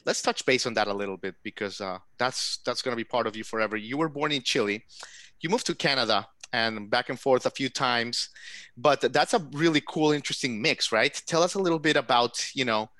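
A man's voice: mid-range voice, a little fast, sharp tone, no echo. A man hosting an interview show, chatty and enthusiastic, often restarting his sentences. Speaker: podcast host. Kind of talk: casual interview chat.

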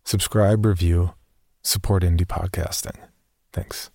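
Low-voiced man hosting, very dry sound. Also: steady tone, measured pace, creaky, dark tone, dry recording. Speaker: podcast host. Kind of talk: scripted podcast announcements.

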